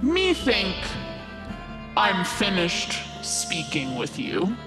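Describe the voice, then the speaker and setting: A woman voicing a huge, proud, territorial giant bird in slow, broken, imperious speech for a tabletop game. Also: lowish voice, wildly swooping pitch, full character voice. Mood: dismissive. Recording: heavy echoing reverb, music behind.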